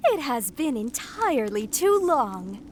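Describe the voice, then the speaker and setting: Game role: wistful female lute player, humble-born storyteller, measured and theatrical, slow delivery, noisy background. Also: fairly high pitch, sing-song. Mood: grand, playful. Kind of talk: video game voice acting.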